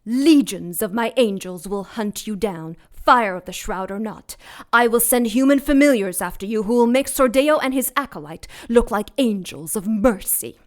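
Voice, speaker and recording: A woman's voice: a little high, animated, normal speed, few pauses, close to an everyday voice. A woman playing an ancient demon queen in an audio drama, imperious, disdainful and threatening. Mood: menacing. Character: ancient demon queen, haughty, menacing mother of monsters.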